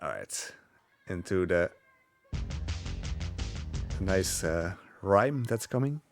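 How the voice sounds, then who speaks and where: A man with a lowish voice commentates casually on his own gameplay. He rambles with hesitant asides while concentrating on the run, and game music plays clearly behind him. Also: lively intonation, very slow. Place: live gaming marathon event, game audio behind.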